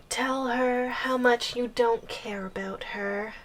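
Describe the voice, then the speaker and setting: A woman's voice: fairly high pitch, fairly even intonation, poor audio. A woman playing an obsessive giantess girlfriend in an ASMR audio roleplay, coy and hesitant, with playful, sweet menace. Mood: teasing menace. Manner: slow, coy, hesitant phrasing with teasing menace.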